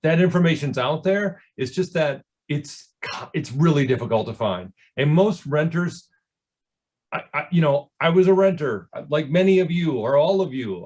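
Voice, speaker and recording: A man with a mid-pitched voice speaks as a candidate at a public forum. He talks off the cuff, stopping and starting as he looks for the right word. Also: a little mellow. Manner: halting, searching for words, stop-and-start. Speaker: political candidate.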